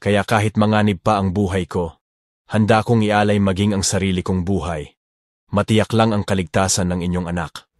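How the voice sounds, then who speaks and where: A man's voice: low voice, steady tone, normal speed, no echo, studio quality, close to an everyday voice. A man playing a devoted, protective young bodyguard in a romance audio drama, speaking with earnest intensity. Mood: humble, resolute. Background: music.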